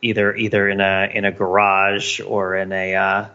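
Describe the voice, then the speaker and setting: Male podcast co-host, bright forceful tone, casual and musing, slightly lo-fi recording. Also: sits low, monotone, normal speed. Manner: musing, thinking aloud.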